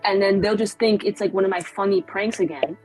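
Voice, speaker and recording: A woman's voice: mid-range voice, a little fast, a little mellow, soft background music, low-quality recording. A young woman playing a tabletop roleplaying game, chatting in character with lots of fillers and giggles. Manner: rambling, filler-heavy, giggly. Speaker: player.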